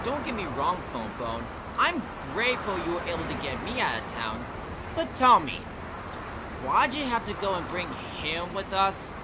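High-pitched, pompous, hot-tempered cartoon creature. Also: male voice, sing-song, moderate pace. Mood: irritated scheming. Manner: pompous, indignant, melodramatic ranting. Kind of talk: amateur cartoon character voice demo. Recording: clear background wind and noise.

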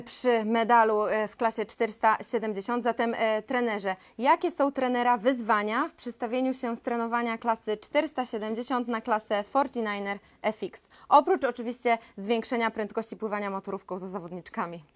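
A young woman moderating a press conference, reading out questions with frequent hesitant fillers in a conference room. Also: on the high side. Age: young adult.

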